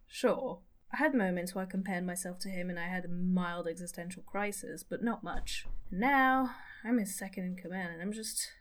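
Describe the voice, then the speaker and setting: A woman's voice: on the low side, lightly acted. A woman playing a weary, self-doubting second-in-command in a naturalistic audio drama, speaking in an understated, hesitant way.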